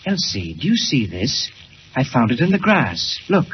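A man's voice: medium pitch, very animated, moderate pace, lightly acted. An actor playing an older, learned father, agitated and earnest, in 1940s radio style. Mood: earnest, excited. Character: excitable older learned doctor, a worried father. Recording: dull, hissy 1940s radio recording.

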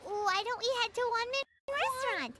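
A woman voicing a tiny, fussy, cheeky fairy girl companion in a video game, squeaky and childlike. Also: moderate pace. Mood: chatty. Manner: talks of herself in third person, fussy. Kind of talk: video game character acting. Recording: game music behind the voice.

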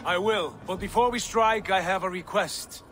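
An actor playing a confident, commanding Viking jarl in a game drama, with a lordly, declamatory way of talking. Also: male voice, high voice, moderate pace, a bit bright, faint music behind. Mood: resolute. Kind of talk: cinematic video game drama.

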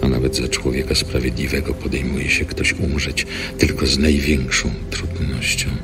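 A man reading an epistle from scripture in a grave, deliberate, scripted style. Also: rumbling low, flat delivery, gravelly, over music. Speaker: scripture reader.

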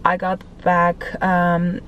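Young woman, raspy, tired, chatty vlogger, parked car, dashboard camera. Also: on the low side, monotone, drawn-out words, fairly bright tone, a little hiss or hum, low-quality recording. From Los Angeles area. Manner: chatty, rambling storyteller with many fillers. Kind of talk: casual vlog chat.

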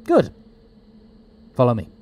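A man playing a quick-witted, eccentric time traveller in an audio drama, wry and explanatory. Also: a little mellow, a little hiss or hum, natural voice. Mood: determined.

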